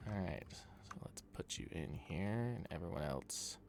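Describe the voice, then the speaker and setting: A man's voice: sits low, lively intonation, a little slow, gravelly, darkish tone, a little hiss or hum. A man narrating as game master, loosely describing scenes with many fillers.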